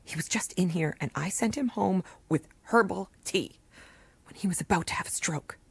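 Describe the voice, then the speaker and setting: A woman's voice: on the low side, normal speed, creaky, breathy edge, no echo, heavily acted. A woman playing a weary, principled rural doctor in an audio drama, blunt and sardonic. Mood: shaken, subdued. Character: weary, principled rural doctor and mother in post-apocalyptic wilds. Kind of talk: naturalistic audio drama acting.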